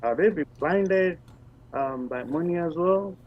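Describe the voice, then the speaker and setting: A man's voice: fairly high pitch, unhurried, narrow, tinny audio, a little hiss or hum. A man calling in with questions, a little apologetic.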